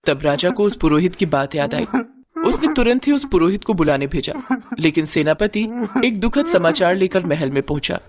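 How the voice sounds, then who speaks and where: A woman reading a folk tale aloud with dramatic expression. Her pitch moves a lot through each line. A faint noise sits behind her voice. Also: animated, normal speed. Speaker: narrator. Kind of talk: scripted storytelling narration.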